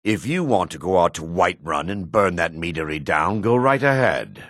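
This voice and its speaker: Fantasy game dark elf, deep-voiced, shifty and greedy merchant, raspy, brusque delivery with lively swings. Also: male voice, moderate pace, dry recording, clean studio sound, exaggerated voice. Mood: menacing. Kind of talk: fantasy video game voice acting.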